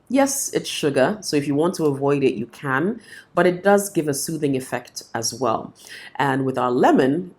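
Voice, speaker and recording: A woman's voice: very deep voice, darkish tone. A woman, a singer and vocal coach with a cold, giving practical tips to camera in a friendly, explanatory way. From Ghana. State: has a cold. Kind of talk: instructional talk to camera.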